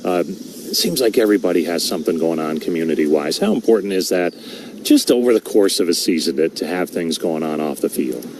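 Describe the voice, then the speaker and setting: Radio sports host, mellow tone, easy conversational delivery. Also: male voice, deep voice, animated, a little fast, slight background noise. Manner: conversational questions, some restarts and fillers. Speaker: radio pregame show host. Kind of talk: radio interview and show hosting.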